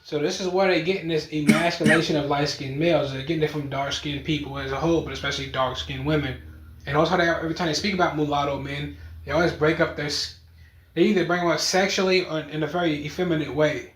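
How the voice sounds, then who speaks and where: A man's voice: a little high, steady tone, fast talker, a bit bright, low-quality recording. A man giving opinionated online commentary in an earnest, insistent manner.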